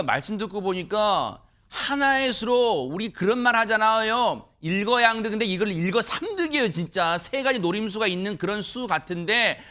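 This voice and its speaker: A man's voice: sits high, pushed and bright. A man hosting a TV news panel show, reacting often and throwing questions to the panelists. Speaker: news talk show host. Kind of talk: moderating a panel discussion. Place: TV studio.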